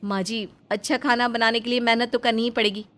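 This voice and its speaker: A woman's voice: on the high side, fairly even intonation, fairly quick, fairly bright tone, faint music behind, lightly acted. A woman voicing a jealous, aggrieved elder daughter-in-law in a cartoon story, whiny and insistent. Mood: eager.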